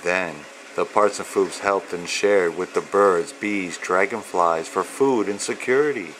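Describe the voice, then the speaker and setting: A man, an author, presenting and reading a children's storybook in deliberate, measured phrases. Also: low-pitched, fairly bright tone, a little hiss or hum, low-quality recording. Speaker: author and illustrator reading own children's book. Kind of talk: read-aloud storytelling of rhyming children's book.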